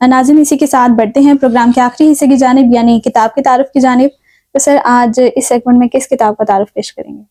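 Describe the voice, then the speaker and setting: A Pakistani woman with a fairly high, soft-toned voice hosts a literary talk show. She is polite and deferential, quick to show appreciation. The sound is dry, with no room echo. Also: steady tone.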